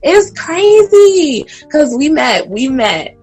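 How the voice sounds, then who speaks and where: Lively woman in her late twenties. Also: high-pitched, slow, soft background music. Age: 20s. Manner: animated, laughing, talks straight to listeners. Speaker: guest. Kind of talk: casual podcast chat.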